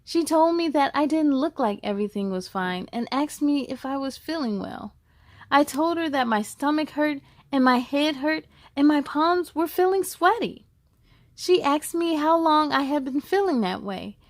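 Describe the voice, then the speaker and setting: A woman hosting a children's storytime, reading aloud in a cheerful, encouraging and expressive way. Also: sits high, normal speed, dry recording, clean studio sound. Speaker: host of a children's read-aloud show.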